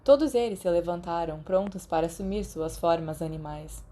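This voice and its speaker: A woman's voice: fairly low pitch, normal speed, dark tone, a little hiss or hum. A woman with a Brazilian accent reading scripted fiction as an audiobook narrator. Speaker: podfic narrator.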